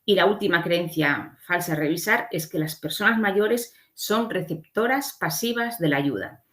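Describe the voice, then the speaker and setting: A woman's voice: on the low side, normal speed, a bit bright. A woman from giving an explanatory slide lecture as a conference speaker, often adding short checking tags.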